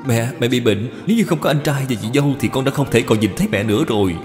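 Cartoon role: reforming young gambler male actor, apologetic, pleading, animated intonation, echoey hall sound. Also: mid-range voice, fast, soft background music, close to an everyday voice. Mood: contrite. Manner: pleading, contrite. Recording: long hall reverb.

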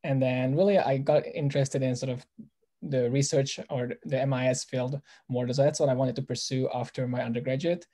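Man from Hungary on a panel call, mellow tone, measured. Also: medium pitch, fairly even intonation. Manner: measured, slightly hesitant. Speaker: alumnus panelist. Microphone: video call.

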